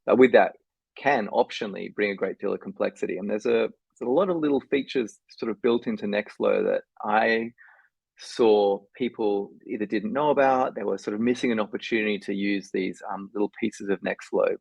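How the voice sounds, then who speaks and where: A man's voice: on the low side, a little fast, vocal fry, a little mellow, slightly rough, dry recording. A man speaking as a podcast guest, thoughtful and restarting his sentences as he goes. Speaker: guest developer. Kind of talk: casual podcast discussion. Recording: dull, dry lo-fi mic.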